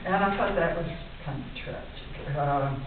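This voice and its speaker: An older woman reads poetry aloud at an unhurried, deliberate pace. She is heard from well away from the microphone, in a very echoey room. She stands at a lectern and chats casually as she introduces each piece. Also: sits low. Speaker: poet reading her own poems. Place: indoor room at a conference, speaking at a lectern. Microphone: distant camera microphone. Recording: muffled, hissy recording from far off in echoey room.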